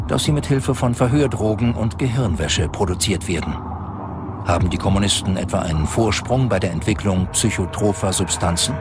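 A man narrates a documentary from a script. His voice sits fairly low. Music plays clearly underneath him as he reads. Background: background music.

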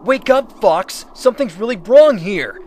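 Man voicing a high-voiced anxious young hedgehog. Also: expressive, unhurried, a little mellow, a little hiss or hum. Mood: alarmed. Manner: stammering when worried. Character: anxious young male hedgehog explorer, nagged and protective. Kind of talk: scripted comic dub acting.

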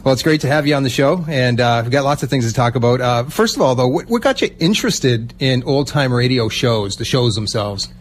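A man's voice: mid-range voice, a little fast, hardly any pauses, a little hiss or hum. Man hosting a radio interview. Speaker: radio show host and interviewer. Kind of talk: conversational interviewing.

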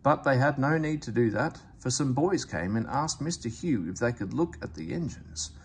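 Expressive man reading a children's story. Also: medium pitch, moderate pace, a little hiss or hum. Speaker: storybook reader. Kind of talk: read-aloud children's story narration. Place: indoors at home, book on camera.

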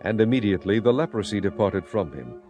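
A man narrates dramatized scripture in a solemn storytelling manner. His voice sits fairly low with lively swings in intonation, and music plays clearly underneath. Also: quick pace, highs cut off.

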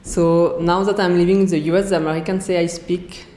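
A woman's voice: lowish voice, flat delivery, brisk, warm tone, roomy sound, slight background noise. A woman in her thirties from France with a French accent, answering interview questions with many fillers and restarts. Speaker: interviewee, scientist. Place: bright office room indoors.

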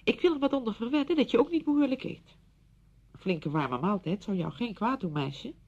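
An actress in a Dutch radio play, playing a brisk, patronising woman who fusses chattily over a widowed friend. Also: animated, a little mellow, dry recording. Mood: brisk, chatty. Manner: chatty, fussing, condescending. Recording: dull radio recording with rumbling noise.

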